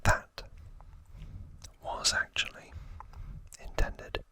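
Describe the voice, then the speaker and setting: A man in his thirties whispering in a breathy voice, telling a story as an ASMR host in short phrases with pauses. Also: bright, forceful tone. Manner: slow, deliberate, short phrases with long pauses.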